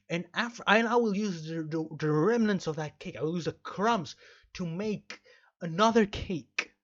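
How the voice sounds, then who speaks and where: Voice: medium pitch, fairly bright tone, dull audio. A game streamer giving rambling let's play commentary in an emphatic, mock-stern way, often repeating phrases. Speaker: video game streamer and host.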